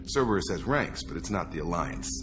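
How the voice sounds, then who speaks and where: A man's voice: low-pitched, animated, fairly quick, music in the background. An actor playing a blunt, guarded male soldier in a video game, plain-spoken and loyal. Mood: guarded, frank.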